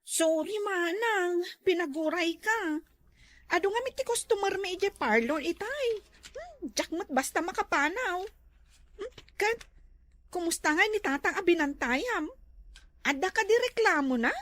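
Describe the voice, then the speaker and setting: A gossipy Ilocano neighbour woman voiced by an actress, very high and bright, speaking without hurry. She is teasing and exclamatory, in comedy drama style. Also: expressive, measured pace, no room sound, heavily acted. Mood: chatty.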